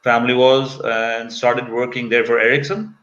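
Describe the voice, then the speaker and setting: A man in his fifties from Kuwait talks as an interview guest in a bright, forceful voice. He recounts his experiences conversationally. The sound is a slightly dull video call. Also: mid-range voice, little pitch movement, moderate pace. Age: 50s. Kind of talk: conversational personal storytelling.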